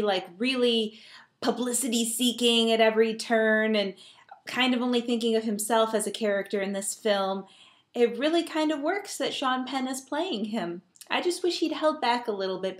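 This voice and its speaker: An American woman giving a casual movie review in a conversational, emphatic way, speaking as the host. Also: on the high side.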